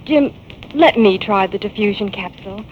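An actress playing an eager young lab assistant who coaxes and pleads, in 1940s radio acting style. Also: female voice, mid-range voice, normal speed, lightly acted. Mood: coaxing. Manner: coaxing, pleading. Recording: dull old radio transcription with hiss.

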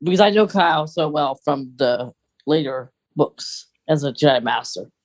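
A woman's voice: sits low, expressive, moderate pace, dry recording. A woman co-hosting a podcast, chatting casually as a fan. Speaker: podcast co-host.

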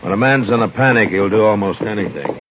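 Actor as deep-voiced, terse old-west American marshal. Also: male voice, animated, fast talker, natural voice. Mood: weary, matter-of-fact. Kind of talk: 1950s radio western acting and narration. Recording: muffled, noisy vintage radio recording.

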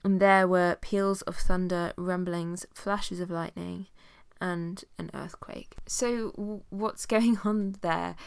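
A woman's voice: on the low side, fairly even intonation, slow, creaky, few pauses, clean tone, no echo. A woman teaching an informal Bible study. She has a cold and sounds nasal, with many fillers as she reads passages aloud.

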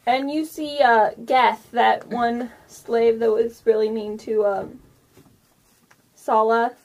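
A woman improvising narration as game master, casual and halting as she thinks aloud. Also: fairly high pitch, steady tone, darkish tone.

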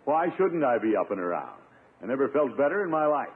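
An actor playing a vain, flustered middle-aged uncle in a 1950s radio comedy, blustering defensively with exasperated chuckles. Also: male voice, medium pitch, very animated, a little fast, slightly rough. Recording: scratchy, tinny 1950s radio recording.

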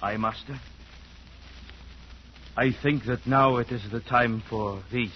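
A man plays a solemn native interpreter who serves his masters with deference. His middle-pitched voice is formal and measured, in 1940s radio acting style. The sound is muffled, with faint noise. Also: steady tone, natural voice. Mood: solemn, deferential.

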